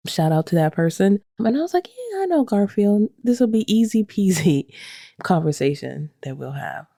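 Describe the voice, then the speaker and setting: Mellow, low-voiced woman hosting a podcast. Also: no room sound, clean studio sound. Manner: upbeat, conversational, laughs along. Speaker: podcast host. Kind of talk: podcast intro and interview.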